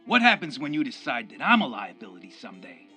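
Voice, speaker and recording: Cocky mob henchman, radio drama, husky bright voice, swinging intonation, slangy, music underneath. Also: mid-range voice, huge pitch swings, fast, exaggerated voice. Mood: defiant. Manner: slangy, flippant, streetwise. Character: cocky wisecracking gangster lieutenant, male, coolly defiant.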